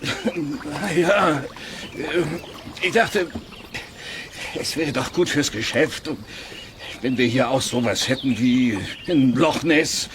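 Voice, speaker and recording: Slow-talking, sheepish innkeeper. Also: male voice, a little high, noisy background. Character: sheepish, scheming male innkeeper, jovially persuasive. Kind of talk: German children's radio play acting.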